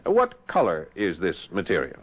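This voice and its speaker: A shrewd, genial older statesman in a vintage radio drama. His lowish voice swoops expressively as he reasons with wry, deliberate calm and small hesitations. The recording is dull, like an old broadcast. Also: breathy edge, full character voice. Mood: patient, explanatory. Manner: deliberate, wry, theatrical, hesitating with little uhs. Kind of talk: 1970s radio drama acting.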